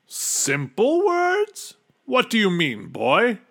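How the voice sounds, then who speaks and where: A man's voice: on the high side, big pitch swings, slow pace, fairly bright tone, pitched above the performer's own voice, exaggerated voice. A man voicing a proud, blustering but helpless old storybook king, with a pompous, groaning and bellowing delivery. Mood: indignant, bellowing. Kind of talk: storybook character voice.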